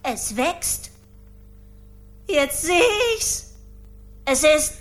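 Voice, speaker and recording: A woman's voice: sits high, soft background music. A woman playing a theatrical, scheming, wicked witch in a German radio play. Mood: alarmed. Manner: drawn-out, theatrical.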